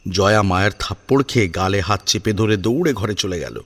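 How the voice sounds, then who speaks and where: A man narrating a scripted family drama in an expressive, suspenseful storytelling manner. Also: lowish voice, fairly quick, a little hiss or hum.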